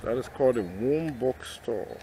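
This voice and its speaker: A man's voice: medium pitch, lively intonation, a little slow, dark tone, a little hiss or hum. Easygoing man vlogging at a garden cafe. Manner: easygoing, repeats himself, plugs the place. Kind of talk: casual vlog narration.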